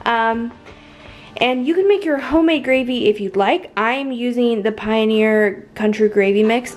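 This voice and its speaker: A young woman chatting casually through a home cooking video, upbeat and full of fillers, recorded at home with soft music behind. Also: on the high side. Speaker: cooking vlogger. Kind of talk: casual cook-along narration. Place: living room at home, indoors.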